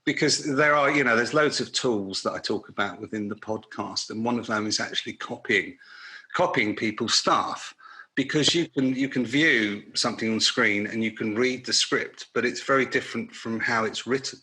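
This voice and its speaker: A man's voice: medium pitch, moderate pace, pushed and bright, low-quality recording. A man hosting a podcast, chatting casually as he interviews a guest.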